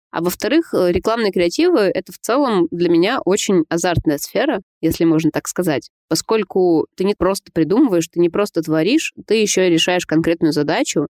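A woman copywriter giving a prepared spoken account of her work, speaking in a measured, thoughtful way. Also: fairly low pitch, darkish tone, no room sound, clean studio sound. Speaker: guest, creative copywriter.

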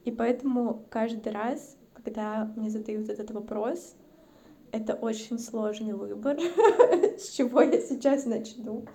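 Gravelly, dark-toned woman. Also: fairly high pitch, a little slow. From North Caucasus, Russia. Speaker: podcast guest. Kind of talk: casual chat.

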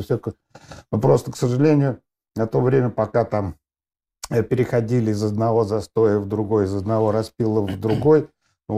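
A middle-aged man with a low, dark, mellow voice. He talks casually as a guest, rambling through fillers, half-words and restarts as he works out his thoughts. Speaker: film director guest. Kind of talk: casual discussion.

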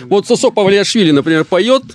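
Man, co-host, fairly high and very animated voice, dry sound, curious questions. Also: fairly quick. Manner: short, curious interjections and probing questions.

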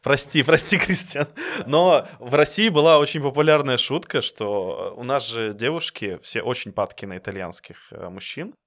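A man's voice: mid-range voice, normal speed, a bit bright. A man co-hosting a podcast in casual conversation, friendly and chatty, now and then telling jokes. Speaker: podcast co-host.

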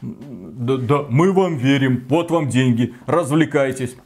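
A man's voice: medium pitch, animated, slow, darkish tone. A man giving opinionated, casual commentary as a podcast host, blunt, sarcastic and exasperated. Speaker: gaming news podcast host.

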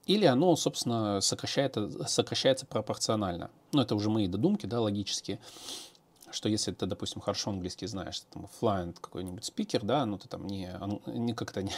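Man, lowish voice, casual q&a monologue, hesitant, filler-heavy. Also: a little mellow. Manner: hesitant, many fillers and restarts. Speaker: programmer answering viewer questions.

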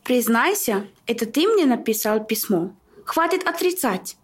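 A woman's voice: on the high side, very animated, unhurried, breathy edge, heavily acted. A woman acting a teasing, affected young unmarried daughter in a radio play, coquettish and mocking. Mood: insistent, teasing.

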